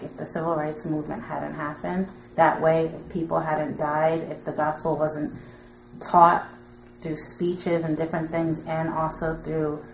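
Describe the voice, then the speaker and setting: A woman in an audience making a casual, earnest comment to a teacher, informally and loosely phrased. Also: low-pitched. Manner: informal, filler-heavy with frequent "like". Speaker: audience member. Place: indoor room with an audience. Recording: roomy echo with clear background noise.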